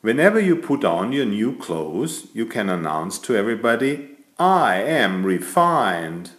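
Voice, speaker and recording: A man's voice: on the low side, very animated, a little slow, a bit bright, reverberant. A man voicing a vain, chatty, elegant tomcat who playfully tells a bedtime story to small children. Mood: self-satisfied. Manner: playful, self-satisfied, addressing small children.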